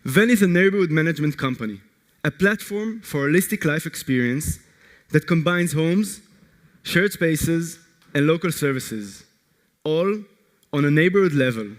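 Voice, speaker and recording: A man from Israel, a startup co-founder, giving an earnest, energetic conference pitch that puts direct questions to the audience. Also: normal speed. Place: large conference hall. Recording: long hall reverb.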